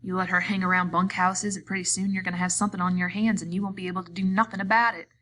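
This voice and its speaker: A woman's voice: fairly low pitch, level tone, quick pace, a bit bright, natural voice. A coarse ranch hand sneers and jeers, crude and threatening, as a woman puts him on while reading. A faint hiss sits behind. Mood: taunting. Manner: taunting, crude.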